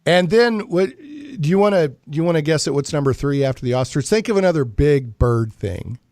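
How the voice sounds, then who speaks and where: A man's voice: fairly high pitch, lively intonation, brisk, darkish tone, no echo, studio quality. A man hosting a talk show, chatting casually in a rambling, joking, mock-serious way. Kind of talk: casual chatty radio banter.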